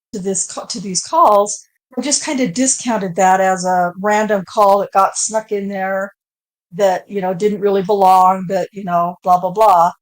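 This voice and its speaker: A woman's voice: mid-range voice, low-quality recording. A woman speaking as a guest on a casual panel, hesitant and searching for her words.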